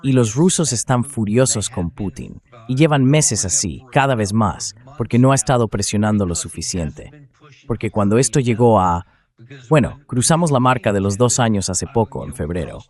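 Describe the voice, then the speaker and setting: Slightly low synthetic male commentator. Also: animated, normal speed, a little mellow. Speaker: military analyst commentator. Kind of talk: explanatory war commentary, read as a dubbed translation.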